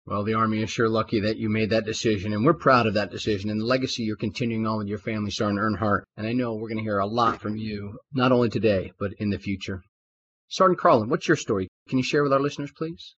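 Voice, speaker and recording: A man's voice: on the low side, quick pace, fairly bright tone, breathy edge. A man hosting a formal interview podcast, upbeat and full of praise for his guests. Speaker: podcast host. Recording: dull lo-fi sound.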